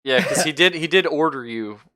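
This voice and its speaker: A man's voice: fairly high pitch, lively intonation, a little fast, bright, forceful tone, no room sound. A man running a tabletop roleplaying game as game master, casual and informal, thinking aloud and swearing freely.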